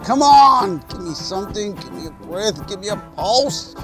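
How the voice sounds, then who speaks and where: A woman playing a steady paramedic giving CPR in a comedy sketch, urgent but calm, with music under it. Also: mid-range voice, moderate pace, close to an everyday voice.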